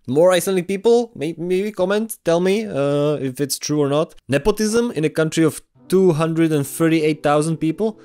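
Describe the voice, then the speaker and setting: A man's voice: on the high side, normal speed, few pauses, darkish tone, clean tone. A man from the Republic, chatting casually as he answers viewer comments and laughs along. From Czech Republic. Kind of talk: casual Q&A chat.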